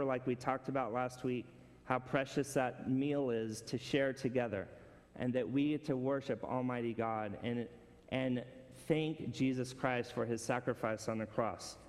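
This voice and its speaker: A man's voice: medium pitch, darkish tone, big-room sound. A man preaching a conversational sermon to a congregation, asking them questions. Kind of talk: casual sermon.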